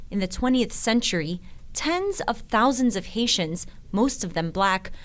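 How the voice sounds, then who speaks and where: A woman reading scripted news documentary narration in the manner of a reporter. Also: mid-range voice.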